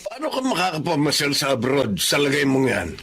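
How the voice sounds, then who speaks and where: A man's voice: a little high, huge pitch swings, brisk, fairly bright tone, a little hiss or hum, full character voice. An actor playing a stern, demanding middle-aged Filipino father in a radio drama, with a critical, domineering manner. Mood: scornful. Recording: music behind the voice.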